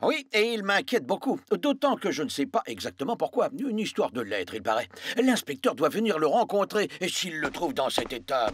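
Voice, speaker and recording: A man's voice: fairly high pitch, big pitch swings, normal speed, few pauses, breathy edge, no room sound, clean studio sound. A man voicing a pompous, formal school headmaster in a cartoon. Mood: grave, ceremonious.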